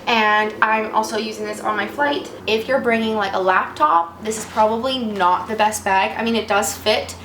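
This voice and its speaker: A woman in her twenties with a slightly raspy voice from a cold, chatting casually and rambling a little. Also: mid-range voice, fairly even intonation, normal speed, few pauses, fairly bright tone. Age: 20s. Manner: chatty, rambling, self-deprecating. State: fighting off a cold. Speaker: vlogger. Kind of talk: casual chat. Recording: echoey room with faint noise.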